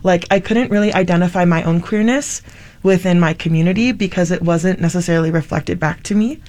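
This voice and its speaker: A woman's voice: lowish voice, brisk, darkish tone. A woman from Hawaii speaking as a panel guest, casually reflecting on personal experience.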